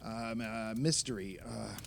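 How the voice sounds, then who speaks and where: An actor playing an opinionated, nostalgic film writer, talking emphatically and at length among friends in a naturalistic audio play. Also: male voice, lowish voice, fairly even intonation, measured pace, vocal fry, slight background noise. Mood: exasperated, nostalgic.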